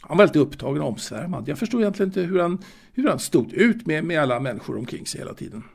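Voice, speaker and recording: A man playing a gravelly old man reminiscing. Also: fairly high pitch, sing-song, exaggerated voice. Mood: wistful, musing. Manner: halting, musing, with hesitations and repeated words. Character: old man wistfully reminiscing about his 1920s Stockholm boyhood. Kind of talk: audio drama monologue.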